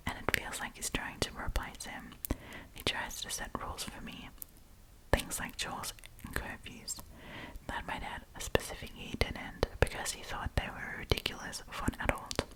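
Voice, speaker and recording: A woman hosting an ASMR storytime in a breathy, unvoiced whisper, reading online stories aloud with chatty, informal asides, close to the microphone. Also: rough recording. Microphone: close propped-up microphone.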